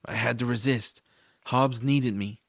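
A man's voice: mid-range voice, fairly even intonation, normal speed, no echo, studio quality, lightly acted. A man playing an earnest, self-doubting boy hero who narrates a fantasy audio drama in the first person. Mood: reflective. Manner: earnest, youthful phrasing.

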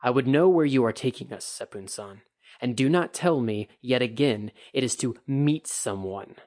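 A man's voice: mid-range voice, expressive, moderate pace, a little mellow, close to an everyday voice. A man voicing a tired, proud samurai who speaks tersely and commandingly. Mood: impatient. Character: weary, proud young samurai man, impatient.

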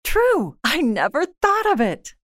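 A vain and yearning young wife from a classic storybook, performed by a woman narrator. Her voice is raised high and full of plaintive feeling, recorded close and dry. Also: wildly swooping pitch, slow, fairly bright tone, no echo, heavily acted. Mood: overjoyed. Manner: plaintive, emotional.

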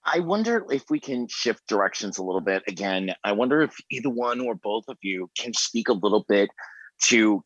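Casting: low-voiced male interviewer, halting delivery, dry close sound. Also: a bit bright, dry recording, highs cut off. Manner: halting, many fillers. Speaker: host. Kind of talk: interview questions.

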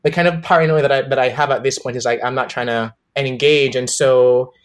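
A man's voice: mid-range voice, fairly even intonation, a little fast. A young man from Jamaica, interviewed about his life, telling his story in a reflective, hesitant way with many fillers and restarts.

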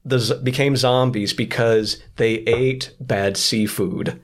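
A man talking casually as a podcast guest and writer, jovial and quick to laugh. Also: mid-range voice, fairly even intonation. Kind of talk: casual interview chat.

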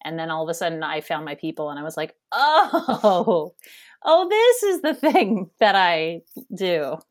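Casting note: Canadian woman in her thirties, interview guest, loud nasal voice, chatty and rambling, lower voice. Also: sing-song, measured pace. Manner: chatty, rambling, laughs often. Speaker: guest, theater education director. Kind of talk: casual interview conversation.

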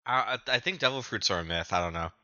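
Deep-voiced man, forceful and very bright. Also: animated, fast, dry recording, dull audio. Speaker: podcast co-host. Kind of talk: casual recap of manga chapters.

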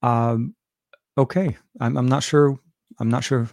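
A man's voice: mid-range voice, fairly even intonation, measured pace, vocal fry, a little mellow, dry recording. Lebanese-accented man, casual tech livestream host, rambling with fillers, dry close sound. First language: Arabic. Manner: rambling, many fillers and restarts. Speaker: tech channel host answering live chat. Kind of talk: casual live Q&A.

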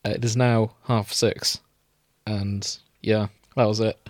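A man's voice: lowish voice, flat delivery, takes it slowly, dry recording, clean studio sound. A man hosting a podcast, bantering casually with friends. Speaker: podcast host.

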